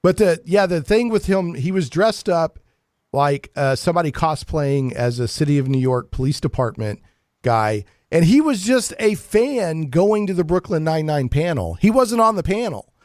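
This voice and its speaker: A man hosting a casual geek-news talk show, chatting in a jokey, animated way with playful emphasis. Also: a little high, normal speed, dry recording, clean studio sound. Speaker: radio talk show host.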